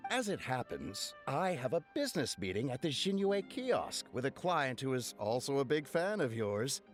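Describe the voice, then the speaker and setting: A man playing a suave, flattering wealthy merchant and opera patron in a video game, with a smooth, courteous, ingratiating manner. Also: medium pitch, moderate pace, soft background music, lightly acted. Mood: flattering, inviting.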